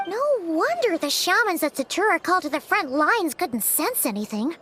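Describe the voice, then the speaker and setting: A woman voicing a tiny, excitable, cheeky fairy girl companion with a squeaky childlike voice in an anime game. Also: normal speed, heavily acted. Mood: curious. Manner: chatty, blunt, third-person childish exclamations. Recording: game music prominent behind voice.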